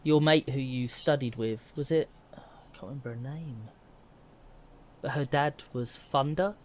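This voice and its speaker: A man's voice: mid-range voice, unhurried, slight background noise. A man co-hosting a gaming podcast in casual conversation, rambling and self-deprecating. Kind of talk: casual chat.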